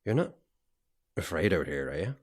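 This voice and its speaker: An actor playing a frustrated, defensive boyfriend in a naturalistic audio horror drama. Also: male voice, low voice, sing-song, moderate pace, darkish tone, slightly rough, heavily acted. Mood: concerned. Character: frustrated boyfriend tied to an unfinished house, defensive.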